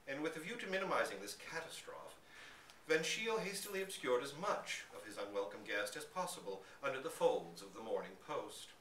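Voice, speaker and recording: A man giving an expressive live dramatic reading of a literary short story. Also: mid-range voice, normal speed. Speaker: story reader. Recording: echoey room, distant mic, background noise.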